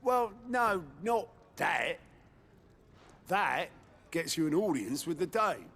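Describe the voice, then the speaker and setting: A gruff, worldly rebel quartermaster from a fantasy game, gravelly and very animated. His English regional speech is colloquial and sly, with a faint noise behind it. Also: sits high, slow pace, full character voice. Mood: sly, teasing. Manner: wry, colloquial, teasing. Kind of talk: video game voice acting.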